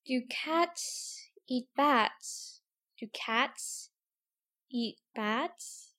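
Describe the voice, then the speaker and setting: A woman's voice: high voice, lively intonation, extremely slow, sharp tone, exaggerated voice. A woman playing a curious, chattering young girl in a full-cast audiobook, talking to herself in a prim, wondering way. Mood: drowsy. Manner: prim, wondering self-talk.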